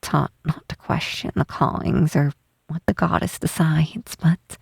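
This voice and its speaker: A woman playing a shy, guilt-ridden half-troll cleric in an intimate ASMR roleplay, speaking softly and hesitantly with apologetic pauses and sighs. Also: deep voice, steady tone, dry recording, studio quality, heavily acted. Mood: guilty, regretful. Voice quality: soft, husky, breathy.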